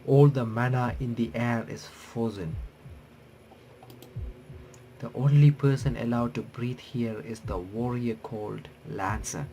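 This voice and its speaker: Male playthrough YouTuber, unhurried and halting, narrating a visual novel over some background noise. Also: mid-range voice, fairly even intonation, mellow, rough recording. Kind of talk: reading game text aloud with casual commentary.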